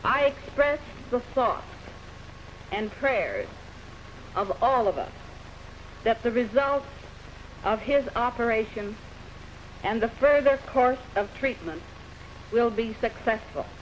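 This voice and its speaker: A woman's voice: a little high, expressive, slow pace, long pauses. A woman with an American accent, a press secretary reading an official statement aloud in short, formal phrases. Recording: dull 1950s news recording, faint noise.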